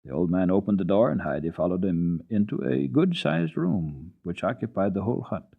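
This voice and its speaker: A man giving an expressive, dramatic radio reading of a children's classic, with deliberate phrasing. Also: deep voice, creaky, husky. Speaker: radio storyteller. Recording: dull lo-fi old radio recording.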